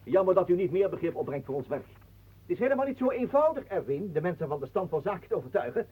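A man's voice: sits high, very animated, fast, slightly rough, full character voice. An actor playing a sly, teasing showman and storyteller in a theatrical radio drama. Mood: lecturing. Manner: theatrical, insinuating. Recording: muffled, phone-like old radio recording.

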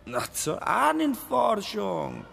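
An actor playing a cheeky, candid young Georgian footballer in a radio drama, talking in a teasing, informal way. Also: male voice, sits high, wildly swooping pitch, slow, gravelly, very noisy, heavily acted. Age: young adult.

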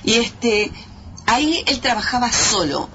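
A woman's voice: fairly high pitch, lively intonation, a little slow. Emphatic Uruguayan woman on a noisy tape. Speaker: actress, interview guest. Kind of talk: casual reminiscing chat. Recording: dull, very noisy old radio tape.

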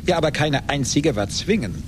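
A man's voice: a little high, animated, moderate pace, close to an everyday voice. An actor playing a bitter, boastful rich man who mocks old friends with sarcastic politeness in a radio play. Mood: gloating. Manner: sarcastic, mock-polite, gloating. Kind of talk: 1980s German radio play acting. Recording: noisy radio play recording, background ambience.

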